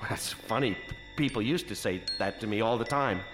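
An actor playing a timid, henpecked husband with a nervous stammer in a comic audio drama. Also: male voice, on the low side, moderate pace, roomy sound, over music, close to an everyday voice. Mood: timid, hesitant. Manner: nervous stammer, meek and flustered. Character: timid, henpecked, stammering husband, former talk show host.

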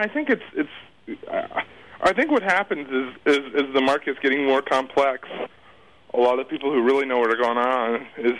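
A man's voice: medium pitch, fairly bright tone, rough recording. A man answering casually as an interview guest, rambling off the cuff, repeating words and trailing off.

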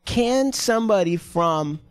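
A man hosts a podcast from a studio, his voice sitting high and swinging up and down a lot. He presses his guests with blunt, profane questions. The sound is close and dry. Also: takes it slowly, clear, resonant tone, dry recording. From United States. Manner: animated, profane, pushy questioning. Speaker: podcast host. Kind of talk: casual chat, interviewing.

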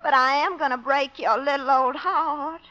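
A woman's voice: sits high, fairly even intonation, moderate pace, bright, forceful tone. A woman playing a flighty, coy sweetheart in a 1950s radio sitcom, in a cutesy, melodramatic manner. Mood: coyly apologetic. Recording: muffled old radio recording.

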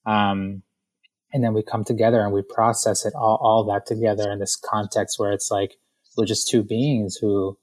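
A man in his thirties from Ecuador, a podcast guest talking thoughtfully and softly, with frequent fillers and restarts. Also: lowish voice, level tone, normal speed. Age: 30s. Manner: thoughtful, soft-spoken, many fillers and restarts. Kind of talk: reflective conversational interview.

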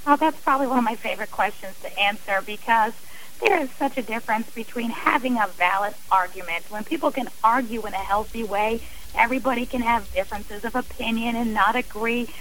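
Woman, interview guest, author, higher-pitched voice, earnest, emphatic, repeats phrases. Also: a little fast, a bit bright, low-quality recording. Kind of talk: radio interview.

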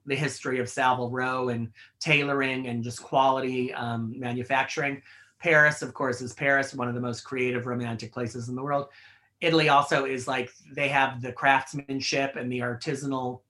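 Middle-aged fashion editor, bright tense tone, rambling chatty answers with lots of fillers. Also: male voice, mid-range voice. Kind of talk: interview answers.

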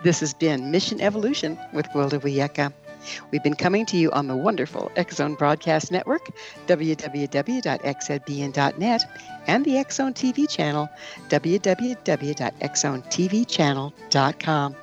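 A woman's voice: low-pitched, lively intonation, moderate pace, dull audio, faint music behind. A woman hosting a radio interview show, putting questions to a guest and reading announcements. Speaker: show host.

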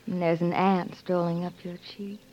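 A woman's voice: fairly low pitch, level tone, normal speed, natural voice. An actress playing an ardent American career woman in love, with emotional, theatrical delivery in a 1940s radio drama. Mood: romantic, wistful. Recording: muffled 1940s radio broadcast, orchestral music.

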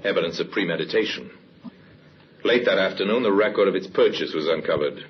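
A man performing a solemn crime-story narrator with a very low voice. He tells it in grave, measured phrases, as in 1950s radio drama. The recording is muffled, with a light hiss. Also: lightly acted. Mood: grave, suspenseful.

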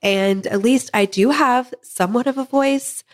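Hoarse woman hosting a chatty podcast. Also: on the high side, a little slow. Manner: chatty, laughs often. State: hoarse from a head cold. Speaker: podcast host. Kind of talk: casual podcast chat.

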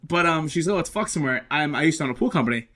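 A man co-hosting a podcast in casual, crude banter, telling stories in a profane, excitable way. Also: fairly high pitch, very fast. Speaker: podcast co-host.

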